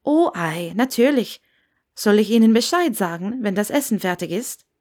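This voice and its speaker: A woman's voice: medium pitch, animated, moderate pace, darkish tone, close to an everyday voice. A woman voicing a talkative, inquisitive female innkeeper who spooks easily, in an audiobook story. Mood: curious, helpful.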